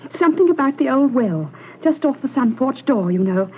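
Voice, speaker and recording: A woman's voice: sits high, very animated, a little fast. An actress playing an anxious, polite middle-aged mother and hostess with a hesitant, genteel manner in a 1940s radio drama style. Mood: nervously polite. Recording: noisy, tinny 1940s radio recording.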